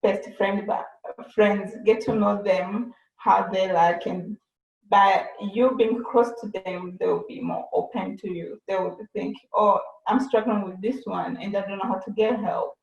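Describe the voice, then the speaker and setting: A young woman from Burundi talks slowly and haltingly, often repeating words as she searches for them. Her voice sounds muffled and dull. It echoes, as if she were in a bare room on a video call. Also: mid-range voice, steady tone, vocal fry, a little mellow. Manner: hesitant, repeats words while thinking. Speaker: interviewee. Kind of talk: casual conversation on a video call.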